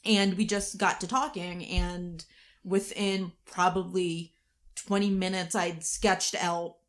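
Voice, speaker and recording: A woman's voice: lowish voice, flat delivery, takes it slowly. A woman co-hosting a podcast in casual, conversational style, talking about her creative work. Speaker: podcast co-host, writer and performer. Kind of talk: casual behind-the-scenes podcast chat.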